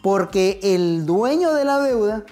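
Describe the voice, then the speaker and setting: A man's voice: high voice, lively intonation, takes it slowly, warm tone, soft background music. A middle-aged man with a Mexican accent teaching real estate at a whiteboard, talking straight to the viewer in a conversational, step-by-step way, standing and gesturing in a studio. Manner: conversational, addresses viewer directly, explains step by step. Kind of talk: whiteboard explainer lesson. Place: indoor studio set.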